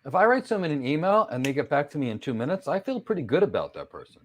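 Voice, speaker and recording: A man's voice: on the high side, quick pace. A man of Greek background hosting a casual interview, telling emphatic stories. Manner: animated, emphatic storyteller. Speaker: host.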